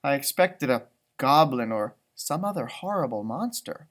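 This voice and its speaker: A man's voice: medium pitch, lively intonation, moderate pace, fairly bright tone, pitched below the performer's own voice, lightly acted. A man voicing a small, grumpy, boastful old leprechaun telling his own story in a storybook read-aloud. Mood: alarmed. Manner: feisty, boastful, theatrical storytelling.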